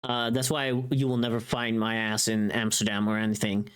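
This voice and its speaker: VTuber stream host, medium pitch, off-the-cuff and teasing, casual rambling chat with light swearing. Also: level tone, brisk, smooth. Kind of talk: casual livestream chat with viewers.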